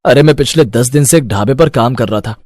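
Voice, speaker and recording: A man's voice: medium pitch, a little fast, darkish tone, no room sound, studio quality, natural voice. A man voicing an earnest, dutiful teenage son in a cartoon moral story, explaining things reassuringly. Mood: eager. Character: earnest, dutiful fifteen-year-old son of poor mother. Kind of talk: animated moral-story dialogue.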